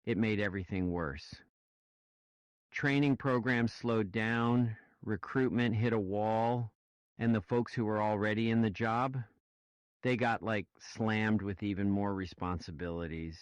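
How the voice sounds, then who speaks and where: A man's voice: fairly low pitch, unhurried, clean tone, no echo. A man in a casual podcast discussion, speaking emphatically and in a questioning way, on a slightly muffled recording. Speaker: podcast panelist.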